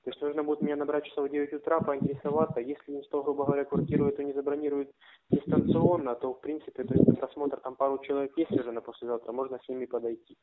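A man's voice: mid-range voice, level tone, very fast. A man chatting casually on a phone call about a rental, in a wordy, repetitive way full of filler phrases. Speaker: man offering a flat for rent by phone. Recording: muffled narrowband phone-call audio.

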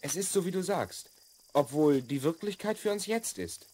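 A man's voice: on the high side, expressive, moderate pace, a little mellow, husky. An actor playing a confident twelve-year-old boy from the future in a children's audio drama, explaining and instructing with assurance. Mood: calm, focused. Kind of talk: 1970s German children's audio drama acting.

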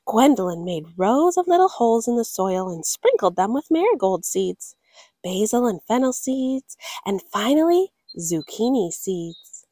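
A woman hosting children's story time, reading in a fairly high, very animated voice. Her phrasing is deliberate and dramatic, and the sound is dry and close. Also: dry recording. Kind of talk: expressive picture-book read-aloud.

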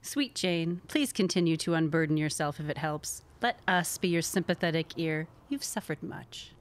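A woman's voice: low-pitched, expressive, normal speed. A woman playing a genteel, sympathetic lady friend, polite and soothing, in a period audio drama.

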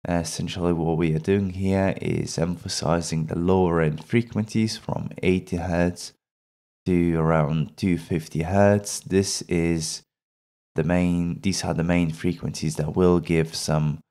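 A man narrates an instructional screen-recording tutorial in a very low, dark voice. His delivery is slow and measured, explaining each step plainly into a close microphone. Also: steady tone. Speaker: tutorial presenter.

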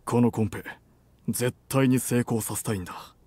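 A man in his thirties playing a suave, assertive company president and boyfriend in an intimate romance audio drama, soft and breathy, gentle yet commanding. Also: mid-range voice, fairly even intonation, normal speed, no echo, close to an everyday voice. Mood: businesslike, keen. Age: 30s.

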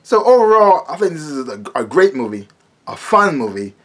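A man's voice: fairly high pitch, very animated, moderate pace, a bit bright. An American man in his thirties giving a casual, emphatic movie review to camera, often repeating his words, recorded in a small room at home.

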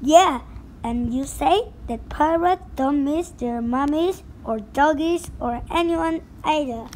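A woman's voice: high-pitched, slow, a little mellow, slight background noise. Woman doing whiny little kindergarteners. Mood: sassy. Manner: whimpering, plaintive. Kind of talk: storybook read-aloud.

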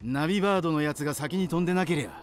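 Cool pirate cook, male actor, matter-of-fact rough talk, a touch high, anime game. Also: racing, soft background music, natural voice.

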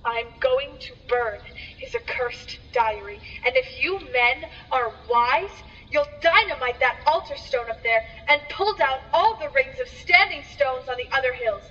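A woman's voice: high voice, measured pace, hardly any pauses, bright, forceful tone. Grave scholarly doctor, played by a woman, solemn and declamatory, for radio horror; muffled, distant, reverberant recording. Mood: stern warning. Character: grave, learned doctor warning of otherworldly evil. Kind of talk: theatrical radio drama acting. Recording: muffled, echoing, distant, noisy.

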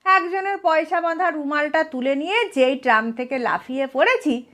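A woman's voice: high voice, animated, normal speed, a bit bright. A woman voicing an absent-minded, hapless male thief in a comic storybook reading, chatty and deferential, with an earnest, aggrieved whine. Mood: earnest, rueful. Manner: whining, earnest, addresses listener as sir.

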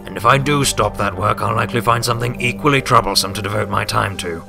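Storybook old wizard played by a male narrator: fatherly, formal, grave, low voice. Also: vocal fry, over music. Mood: sincere. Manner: formal, measured.

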